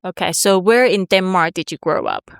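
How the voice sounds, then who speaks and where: Woman interviewer, admiring and effusive; crisp, dry close-miked voice. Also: fairly low pitch, very animated, moderate pace, no echo. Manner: warm, admiring, gushing. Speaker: host, interviewer. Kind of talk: casual interview.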